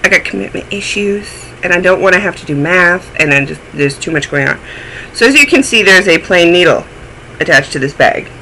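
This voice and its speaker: Chatty, bright-voiced man, a bit phlegmy. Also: high-pitched, lively intonation, brisk, a little hiss or hum, poor audio. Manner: chatty, rambling, self-deprecating. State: a little phlegmy. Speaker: knitting podcast host. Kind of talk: casual chat.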